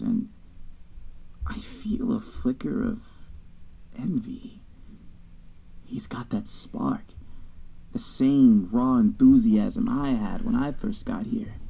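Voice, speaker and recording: A man's voice: fairly low pitch, lively intonation, slow, gravelly, long pauses, mellow, slightly rough, heavily acted, low-quality recording. An actor playing a proud young college quarterback who narrates his own story in an audio drama. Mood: envious. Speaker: narrator and lead character.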